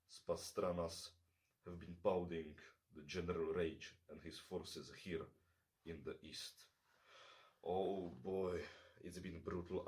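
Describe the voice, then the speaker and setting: Man voicing an army captain, very low voice, friendly, gruff, welcoming. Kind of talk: soft-spoken ASMR roleplay.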